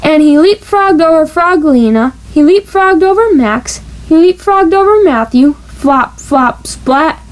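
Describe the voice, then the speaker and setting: High-voiced woman reading a children's storybook. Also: lively intonation, unhurried, few pauses. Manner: playful, stressing sound-effect words. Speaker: storybook reader. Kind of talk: animated children's storybook read-aloud.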